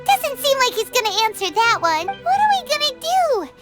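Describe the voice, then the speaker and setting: A small fairy companion from a video game with an extremely high, squeaky voice. She is chatty and emphatic, swooping through her lines with loyal indignation. Loud music plays behind her. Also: big pitch swings, moderate pace. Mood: worried.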